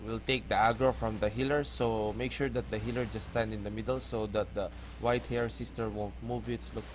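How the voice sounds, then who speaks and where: A man from the Philippines with a Filipino accent, English not his first language, giving halting, hesitant commentary over a game tutorial. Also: lowish voice, level tone, slight background noise. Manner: hesitant, halting, restarts words often. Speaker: gaming tutorial host. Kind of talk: casual gameplay commentary.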